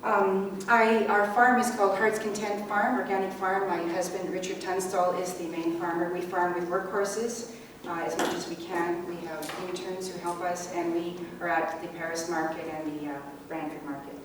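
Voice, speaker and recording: A woman's voice: lowish voice, flat delivery, normal speed. Canadian woman speaking in a very echoey room. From rural Canada. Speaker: election candidate. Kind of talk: unscripted campaign speech at a public debate. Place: indoor public meeting room. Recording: echoey room, distant mic, faint noise.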